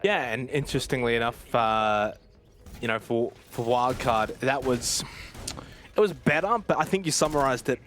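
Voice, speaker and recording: A man giving fast play-by-play commentary on an esports match, his voice leaping up at big plays. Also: slight background noise. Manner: excitable, voice jumps high at big plays. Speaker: esports caster.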